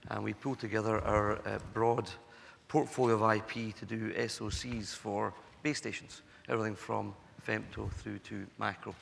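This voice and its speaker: A man with a cold and a hoarse voice, introducing himself on a conference panel in a measured way with many fillers. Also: lowish voice, flat delivery, a bit bright, slight background noise. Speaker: panelist, semiconductor executive. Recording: echoey conference hall.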